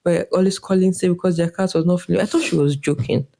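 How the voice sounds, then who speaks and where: Animated Nigerian woman with a mellow voice. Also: fast, vocal fry. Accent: Nigerian English. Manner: animated storyteller, pitch jumps when quoting. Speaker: podcast co-host. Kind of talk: casual chat.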